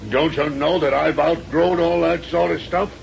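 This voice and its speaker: An actor playing a gruff, simple, loyal reformed air pirate in a 1930s radio serial, halting and stammering, with uneducated grammar. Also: male voice, a little high, steady tone, lo-fi sound. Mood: defensive, stubborn. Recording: hissy vintage 1930s radio recording.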